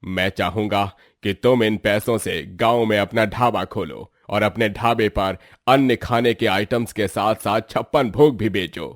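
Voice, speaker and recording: A man voicing a dignified, approving village headman in a moral story, speaking in a measured, solemn way. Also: on the low side, dry recording, studio quality, voice pushed down, natural voice. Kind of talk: acted moral-story dialogue.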